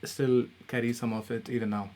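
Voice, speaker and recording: A man from Kerala, a classical singer, explaining his tradition in a relaxed, playful way. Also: mid-range voice, fairly quick. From Kerala, India. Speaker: guest, classical vocalist. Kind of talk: casual interview chat.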